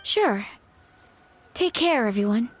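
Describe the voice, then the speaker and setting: An actress playing a composed, discreet funeral parlor attendant in a fantasy video game, with a measured, formal, professional manner. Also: female voice, on the high side, animated, faint music behind. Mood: composed, courteous. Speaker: voice actor. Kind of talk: scripted video game dialogue.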